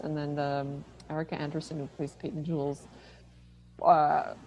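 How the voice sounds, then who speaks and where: Low-voiced woman on a slightly noisy call. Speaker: podcast guest, filmmaker. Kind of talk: casual interview chat.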